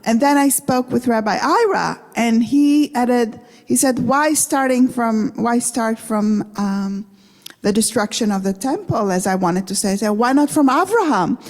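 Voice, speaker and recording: Woman with Russian-Israeli accent, slightly high. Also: a little slow, darkish tone. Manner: conversational, questions the audience, laughs easily. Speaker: guest lecturer. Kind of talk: interactive lecture to a congregation. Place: synagogue hall. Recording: reverberant hall.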